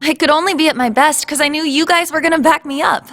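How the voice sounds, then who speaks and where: A woman's voice: sits high, fast talker, fairly bright tone, long reverb tail. An actress playing a spirited, confident young female cadet in a video game cutscene. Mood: bashful, playful. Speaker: voice actor.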